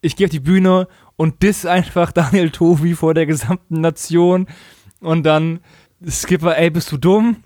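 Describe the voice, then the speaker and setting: A man's voice: fairly high pitch, steady tone, measured pace, darkish tone, dry recording, studio quality. A man co-hosting a casual comedic recap podcast, slangy and mockingly acting out quoted dialogue. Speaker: podcast co-host.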